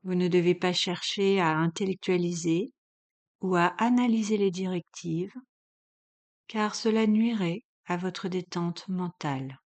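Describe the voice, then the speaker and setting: A woman leading a guided yoga relaxation as an instructor, speaking calmly and in measured instructions with long pauses. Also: on the low side.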